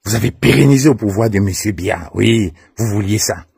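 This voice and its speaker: Male political activist, Cameroonian French accent, low husky voice, emphatic and accusatory, speaking in rhetorical direct address. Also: fairly quick, a little mellow, no echo, clean studio sound.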